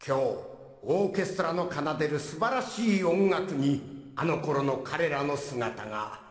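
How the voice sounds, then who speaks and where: An actor playing a genial, dignified elderly judge with a ceremonious, polite manner in a staged courtroom skit. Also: male voice, a little high. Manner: courtly, ceremonious, polite old-man phrasing. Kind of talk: live stage skit acting, formal announcing. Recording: echoey concert hall, long reverb.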